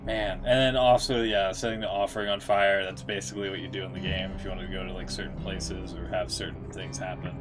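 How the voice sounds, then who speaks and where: A man's voice: low-pitched, little pitch movement, a little fast, hardly any pauses. A man giving casual reaction commentary as a game streamer, blunt, slangy and profane in how he talks. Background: music.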